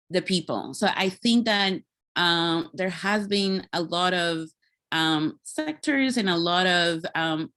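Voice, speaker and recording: A woman giving an informal online presentation as a guest speaker, thoughtful and halting, with many small filler phrases. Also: on the low side, fairly bright tone. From Puerto Rico. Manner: halting, thoughtful, peppered with "like" and "you know". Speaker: guest speaker, climate scientist. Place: video call.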